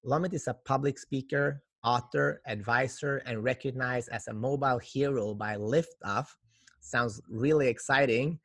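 A man's voice: mid-range voice, normal speed, fairly bright tone, no room sound. A man hosting a podcast, upbeat in introductions and stumbling over his questions with "like". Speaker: podcast host.

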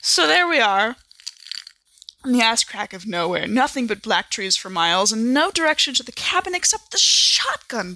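A woman with a bright, forceful, fairly high voice talks casually as a podcast regular. She tells things in an informal first-person way, recorded dry and close. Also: dry recording, low-quality recording. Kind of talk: casual chat and lively first-person story recap.

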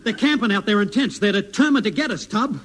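An agreeable, naive sidekick in a 1950s British radio sitcom. The man's voice is high and swoops about expressively, over faint noise from the old recording. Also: animated, quick pace, a bit bright. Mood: eager, agreeable.

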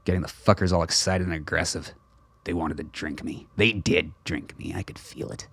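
A man playing a gruff, suspicious older father in a radio play, with a terse, profane, guarded manner. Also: sits low, fairly even intonation, moderate pace, a bit bright, exaggerated voice. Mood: grim. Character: gruff, suspicious old father on a covert mission.

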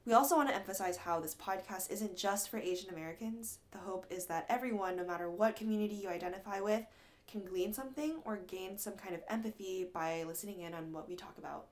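A woman's voice: medium pitch, quick pace. A young woman from Atlanta co-hosts a casual college radio podcast. She sounds upbeat and laughs often, moving between loose chat and lines she has prepared. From Atlanta, Georgia. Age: young adult. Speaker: podcast co-host.

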